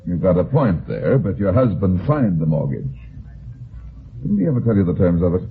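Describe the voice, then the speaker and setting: A man's voice: low voice, very animated, normal speed, creaky, husky, heavily acted. A man playing a blunt, unruffled private investigator with terse, probing delivery in an old-time radio detective drama. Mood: matter-of-fact. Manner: terse, clipped, probing questions. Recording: tinny band-limited vintage radio broadcast.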